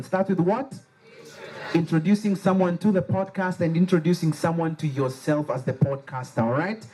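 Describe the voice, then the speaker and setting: A man with a Liberian accent leading a lively workshop, joking and getting the audience to repeat key words after him. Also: a little high, a little hiss or hum. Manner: animated, playful, has the audience repeat words. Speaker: workshop facilitator. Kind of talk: interactive call-and-response workshop. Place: workshop room with a live audience.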